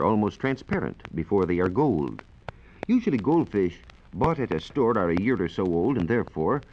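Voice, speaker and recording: A man explaining science in a measured, precise way as a reporter on a 1940s radio program. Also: on the low side, a little mellow. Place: radio studio. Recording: dull, noisy 1940s radio recording.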